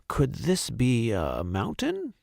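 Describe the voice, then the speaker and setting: A man voicing a gentle little toy bear full of earnest wonder in a children's storybook. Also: medium pitch, wildly swooping pitch, slow, vocal fry, dry recording, clean studio sound, exaggerated voice. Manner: wide-eyed, earnest wonder. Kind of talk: children's storybook read-aloud.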